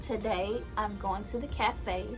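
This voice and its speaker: A woman's voice: mid-range voice, fairly even intonation, measured pace, over music. A young woman vlogging casually about learning a language, chatty, with many fillers and emphatic repeats. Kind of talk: casual talk to camera.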